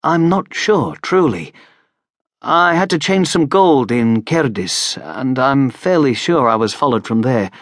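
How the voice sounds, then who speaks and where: A man's voice: mid-range voice, lively intonation, measured pace, no echo, studio quality, voice pushed up. A man voicing a wary, courteous injured young traveller in an audiobook, speaking hesitantly and politely. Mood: earnest, grateful. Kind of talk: audiobook character voice.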